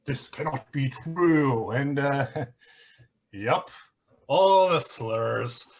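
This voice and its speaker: A man's voice: mid-range voice, lively intonation, extremely slow, slight background noise. A man playing a smug, flippant internet conspiracy grifter who mocks theatrically in a one-act play. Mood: gleeful, mocking. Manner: sarcastic, mocking, theatrical.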